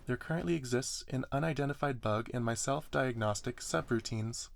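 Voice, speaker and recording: A man voicing a polite, earnest young female service robot, speaking softly in formal, wordy sentences for an ASMR story reading. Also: mid-range voice, moderate pace, creaky, natural voice. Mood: earnest, matter-of-fact. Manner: verbose, courteous, formal.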